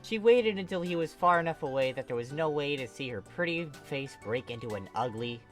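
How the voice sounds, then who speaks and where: A reader narrating a comic fan fiction story in an expressive, emphatic way. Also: medium pitch, a little fast, faint music behind.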